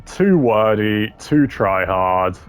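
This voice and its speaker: A man's voice: lowish voice, expressive, slow pace, music in the background. A man hosting a gaming video with casual, wry, sardonic commentary and some swearing. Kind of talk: casual tier-list commentary.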